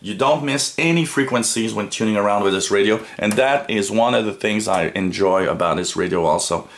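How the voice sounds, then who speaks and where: A man giving an unscripted product review as a reviewer, in a halting way with frequent pauses. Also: lowish voice, fairly bright tone. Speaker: radio reviewer. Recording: noticeable background noise.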